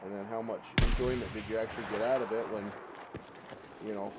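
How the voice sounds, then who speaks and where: A man's voice: lowish voice, fairly even intonation, brisk, heavy background noise. A man with a head cold chatting casually while streaming a shooter game, rambling in a folksy way and repeating his words. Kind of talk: casual gaming livestream chat. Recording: loud game gunfire behind voice. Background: gunfire and vehicles from the game.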